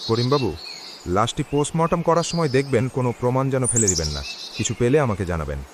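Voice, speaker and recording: A man's voice: mid-range voice, lively intonation, fast talker, close to an everyday voice. A man voicing an insistent official in a horror cartoon, giving urgent instructions. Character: insistent official instructing the post-mortem worker. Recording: insect and bird noise behind.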